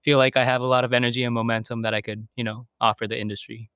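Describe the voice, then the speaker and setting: Smooth-voiced man in his thirties. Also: medium pitch, little pitch movement, very fast, sharp tone, dry recording, studio quality. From United States. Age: 30s. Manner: thoughtful, hesitant, many fillers and restarts. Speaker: podcast guest. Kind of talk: conversational interview.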